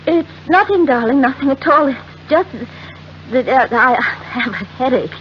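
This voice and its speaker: An actress playing a young, wealthy wife in an earnest, melodramatic 1940s radio drama style. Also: female voice, sits high, lively intonation. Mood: flustered, evasive. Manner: earnest, melodramatic, halting under strain. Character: young wealthy wife, affectionate but anxious and secretive. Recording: muffled, hissy old radio recording.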